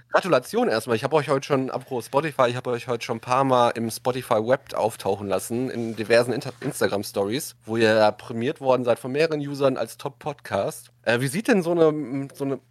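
A man conducts a casual interview. He speaks at a medium pitch, and the recording of his voice is dry, with very little room echo. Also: fairly quick.

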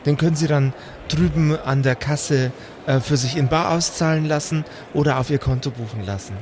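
A man acts out a courteous female receptionist in an improvised game. The voice is higher-set for a man, formal, businesslike and slightly hesitant, with faint noise. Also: normal speed, lightly acted. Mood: polite, helpful.

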